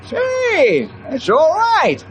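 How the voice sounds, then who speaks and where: Excitable old-timer, very high swooping voice, rustic grammar, crotchety, noisy old radio western. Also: male voice, very slow, exaggerated voice. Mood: impressed. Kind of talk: old-time radio adventure acting.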